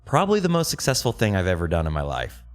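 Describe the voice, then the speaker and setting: A young man hosts a podcast in rapid, bouncy speech, his low voice swinging widely in pitch. He is eager and loose, cursing casually between questions. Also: huge pitch swings, faint music behind. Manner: enthusiastic, swears casually. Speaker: podcast host. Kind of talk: casual interview chat.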